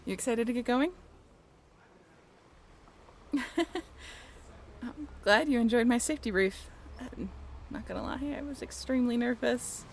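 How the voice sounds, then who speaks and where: A young crewwoman of a sailing ship, acted by a woman in an audio roleplay, speaking in a fairly high voice. She is friendly and informal, quick to tease and joke. A light layer of ambient noise sits behind her. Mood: friendly, bashful. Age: young adult. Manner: chatty and informal, with a joking streak. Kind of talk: amateur audio roleplay acting.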